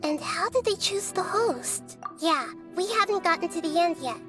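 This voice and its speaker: A gentle young girl nature god with a high, youthful voice. She explains things in a composed, measured way, wise beyond her apparent age. It is fantasy game dialogue with music behind. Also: expressive. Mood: pondering, reassuring.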